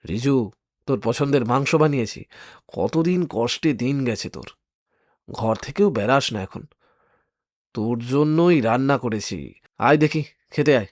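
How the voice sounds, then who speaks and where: A man playing a vengeful, protective elder brother in an audio thriller, speaking slowly and deliberately. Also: mid-range voice, dry recording, clean studio sound, natural voice. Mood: tender, coaxing. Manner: slow, deliberate, threatening delivery. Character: menacing vengeful elder brother, caring only to his sibling. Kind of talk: audio thriller story acting.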